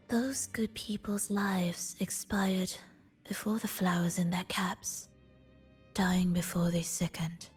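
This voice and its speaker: A woman voicing a melancholic, enigmatic composer and conductor in a video game, solemn and poetic in a soft, breathy voice. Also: medium pitch. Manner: solemn, poetic, measured delivery. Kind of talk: dramatic video game voice acting. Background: background music.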